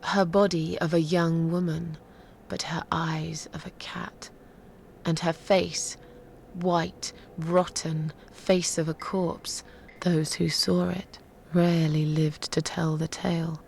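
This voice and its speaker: An actress plays an eerie, sardonic woman telling a grim legend. Her voice is lowish and full of creak, moving slowly and deliberately, often sinking toward a whisper in dramatic horror style. There is faint noise behind her. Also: level tone, darkish tone, full character voice. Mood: menacing.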